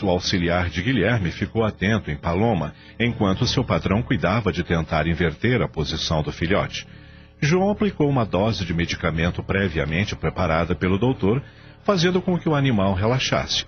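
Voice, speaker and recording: A man with a Brazilian accent narrating a scripted radio drama in a solemn, expressive storytelling manner. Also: deep voice. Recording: dull radio recording with music bed.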